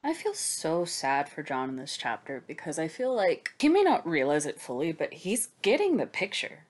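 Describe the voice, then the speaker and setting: Woman doing sarcastic book recaps, swings up and down a lot, kinda low voice, swears. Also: very animated, normal speed. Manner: snarky, casual, sweary, emphatic. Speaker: podcast co-host giving recaps. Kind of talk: sarcastic, scripted-sounding book recap and discussion.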